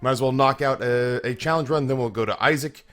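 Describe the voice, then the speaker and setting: A slightly hoarse young man hosting a gaming channel, telling a long, self-mocking story with many restarts while he plays. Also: mid-range voice, fairly quick, a bit bright. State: a little hoarse. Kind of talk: casual let's-play commentary.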